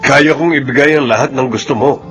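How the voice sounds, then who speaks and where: A man's voice: medium pitch, a little fast, over music, close to an everyday voice, poor audio. A man playing an arrogant, blunt, wealthy older suitor with a Filipino accent in a radio drama. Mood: seductive, boastful. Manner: smooth-talking, blunt, boastful.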